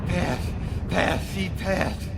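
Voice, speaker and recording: A man playing a befuddled, excitable creature with paws in a radio play, speaking in halting, dazed repetitions. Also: high-pitched, gravelly, noisy background, full character voice. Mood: dazed wonder.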